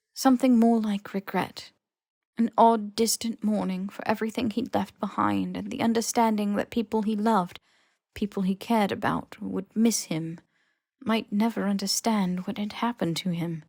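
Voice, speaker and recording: A woman reading fan fiction as expressive scripted narration, with emotive, dramatic phrasing. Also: mid-range voice, creaky, dry recording, studio quality. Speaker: podfic reader.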